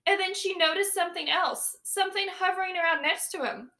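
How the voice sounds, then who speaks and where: A woman's voice: very high-pitched, brisk, bright, forceful tone. A woman teacher reading a picture book aloud to young children, stopping to ask them questions and explain words.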